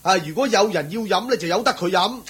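An actor playing a poor, humble and excitable devout villager and husband in a Hong Kong Cantonese radio drama. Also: male voice, high-pitched, fast talker, breathy edge, heavily acted. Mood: pleading. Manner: deferential, excitable, chuckling and sighing.

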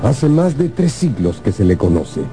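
An actor playing a grave, formal English aristocrat in a radio drama, warning solemnly and dramatically. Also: male voice, medium pitch, very animated, moderate pace. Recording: music behind the voice. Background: music.

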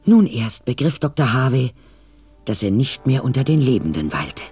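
A man's voice: mid-range voice, sing-song, moderate pace, a little mellow, husky, no room sound. A man narrating a scripted German audio drama in a dramatic storytelling manner. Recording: faint music behind.

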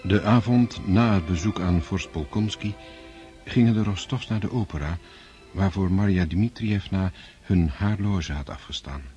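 Deep-voiced male radio narrator. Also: dark tone, faint music behind. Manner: measured, formal. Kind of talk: scripted narration.

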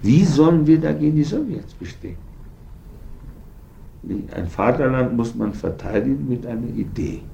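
A man talking informally in a halting but emphatic way, repeating and breaking off phrases, on an old recording. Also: medium pitch, lively intonation, creaky, warm tone, dull audio, a little hiss or hum.

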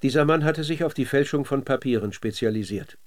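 A man with a standard German accent narrates a scripted radio documentary drama in a measured, factual way. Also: medium pitch.

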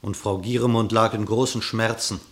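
A man narrating an epic verse fable for a radio play in an expressive, literary storytelling manner. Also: lowish voice, normal speed.

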